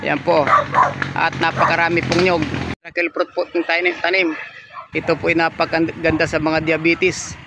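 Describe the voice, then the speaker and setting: A man's voice: fairly high pitch, monotone, normal speed, noisy background. A man, narrating a walking tour of a farm lot for sale, politely pointing things out as he goes. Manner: polite, repeats "yan po" and "oh" while pointing things out. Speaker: real estate agent giving a property tour. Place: outdoors on a coconut farm.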